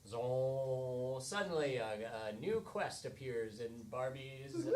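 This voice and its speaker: A man narrating improvised comic scenes on stage in a halting, mock-cinematic way. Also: medium pitch, expressive. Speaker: improv comedy performer and narrator. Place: theatre stage, live show. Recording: echoey theatre, faint noise.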